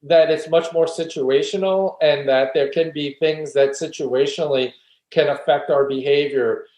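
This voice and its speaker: Guy in a roomy echoey space, slightly high voice, talking thru arguments like a professor, earnest. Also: steady tone, moderate pace, a little mellow. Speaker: guest philosophy professor. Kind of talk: conversational interview discussion. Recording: roomy, echoey room sound.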